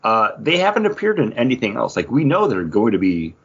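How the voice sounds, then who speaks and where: A man hosting a podcast in a casual chat, rambling and halting, with "like" dropped in often. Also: mid-range voice, huge pitch swings, husky, lo-fi sound. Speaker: podcast host.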